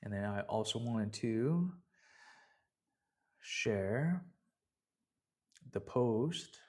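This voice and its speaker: A man's voice: medium pitch, animated, drawn-out words, a little mellow. A man from Vancouver with a Canadian accent, a fitness coach talking casually to his members in a rambling, conversational way and often circling back to repeat himself. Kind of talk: casual explainer talk to members.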